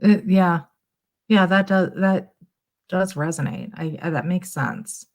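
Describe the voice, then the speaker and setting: A woman's voice: on the low side, slow pace. A woman chatting casually as a guest tarot reader, hesitant and thinking aloud. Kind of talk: casual chat.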